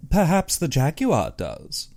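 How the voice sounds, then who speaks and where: A man voicing an uneasy, superstitious big-game hunter in an audiobook, earnest and brooding in his expressive delivery. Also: a little high, slow, gravelly, pitched above the performer's own voice, heavily acted. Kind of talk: audiobook character dialogue.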